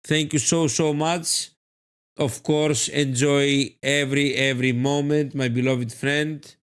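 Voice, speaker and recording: Greek guy live streaming trades, slow talker, keeps repeating himself, calls chat my beloved friend. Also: medium pitch, steady tone, smooth. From Greece. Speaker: live-stream trading host.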